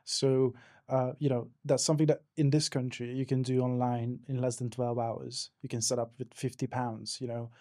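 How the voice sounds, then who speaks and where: A man talks as a podcast guest, his voice dark and mellow. He hesitates often, filling gaps with "uh" and "you know" and restarting phrases, and the recording is dry and close. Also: mid-range voice, level tone, moderate pace, hardly any pauses, no room sound. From Hungary. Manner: hesitant, many fillers and restarts. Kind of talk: casual interview answers.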